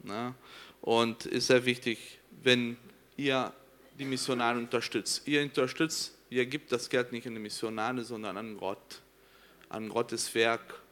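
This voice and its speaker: A man's voice: mid-range voice, steady tone, unhurried, a bit bright. A man from Brazil whose first language is Portuguese, speaking German with a Brazilian accent, presenting earnestly and searching for words at times. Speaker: missionary. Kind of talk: presentation to a congregation.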